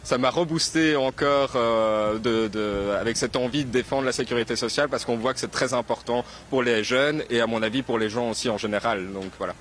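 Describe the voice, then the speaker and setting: A man's voice: mid-range voice, fairly quick, few pauses, a bit bright. Young man, street interviewer, handheld mic, faint street noise outside. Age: young adult. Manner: explanatory, many fillers. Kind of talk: street interview, casual explaining. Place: outdoors on a city square.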